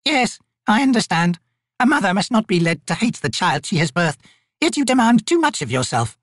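Brief: narrator as ancient she-raven, stubborn and tender, slow soothing delivery, close dry sound. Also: mid-range voice, big pitch swings, no room sound, pitched above the performer's own voice. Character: great old female raven spirit, tender and stubborn.

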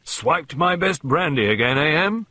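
A man's voice: a little high, lively intonation, unhurried, pushed and bright, no echo, voice pushed up, exaggerated voice. A man voicing a hearty, grumbling older colonel and country squire in an audiobook. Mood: hearty, jovial. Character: blustering, good-humoured older English colonel, country squire. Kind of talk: audiobook character voice.

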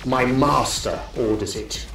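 An actor playing a menacing villain commander in a sci-fi audio drama, imperious and threatening. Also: male voice, medium pitch, takes it slowly, gravelly. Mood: contemptuous. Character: menacing villain commander serving a dark master. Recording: distant, echoing, music underneath.

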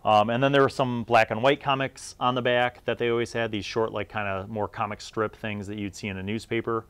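A man's voice: fairly low pitch, flat delivery, fairly quick, fairly bright tone, clean tone, dry recording. A middle-aged man hosting a casual review video about old gaming material, talking in a nostalgic, conversational, slangy way. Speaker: host of a video series on old gaming content.

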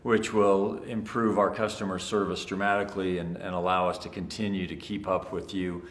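A middle-aged man with a fairly low voice speaks upbeat thanks and congratulations as a company executive. He stands before a camera in a large, bright office, and the room adds a noticeable echo. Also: flat delivery, normal speed, a little hiss or hum. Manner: upbeat and congratulatory, some fillers. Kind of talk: scripted-free video address to sales leaders. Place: large bright office with tall windows, indoors. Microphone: standing before a fixed camera, two-shot. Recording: echoey room.

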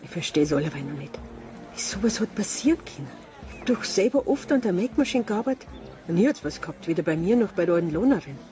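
A plain-spoken village farm helper talks in a Bavarian accent with a worried, sympathetic manner. It is naturalistic radio drama, with music clearly playing behind the voice. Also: female voice, on the low side, sing-song, fast talker. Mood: worried, saddened. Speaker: actor in a radio crime drama.

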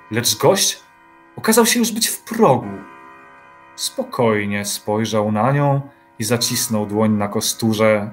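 A man narrating an audio drama in an even, measured literary reading. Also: on the low side.

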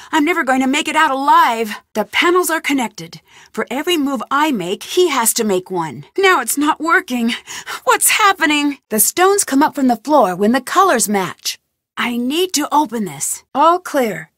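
Curious young girl detective, bright pitch, animated delivery, dry booth sound. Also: no room sound, full character voice. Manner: thinking aloud, inquisitive, lightly wry. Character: young female amateur detective, curious and determined. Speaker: video game protagonist. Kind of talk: studio game voice lines. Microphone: close studio mic.

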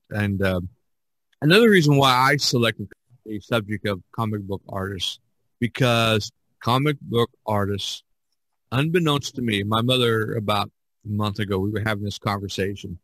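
A man's voice: fairly low pitch, moderate pace, fairly bright tone, no room sound, poor audio. Older man chatting, close dry sound. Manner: rambling, anecdotal, repeats phrases. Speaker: podcast host. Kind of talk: casual podcast discussion.

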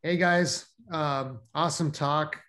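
Man, middle-aged, from Mexico, slightly high voice, rambling, friendly, webcam audio. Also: fairly even intonation, slow pace, bright, forceful tone. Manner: rambling, friendly, many fillers. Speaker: audience member asking a question. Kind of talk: casual video call question. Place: small dim room at home. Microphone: laptop webcam on a video call.

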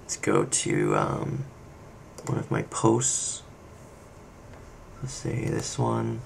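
Guy doing a laid-out screencast how-to, really slow and super creaky, kinda thinking out loud, bit of background noise. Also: rumbling low, very animated. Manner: unscripted, hesitant, pauses while clicking. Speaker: software tutorial presenter. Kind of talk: casual screencast walkthrough, thinking aloud. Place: indoor screen-recording voice-over.

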